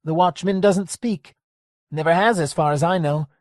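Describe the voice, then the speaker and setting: A man's voice: on the high side, moderate pace, dry recording, clean studio sound, voice pushed up, close to an everyday voice. A man voicing a wary, folksy male hangout owner in an audiobook, in a noticeably raised voice. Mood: wistful.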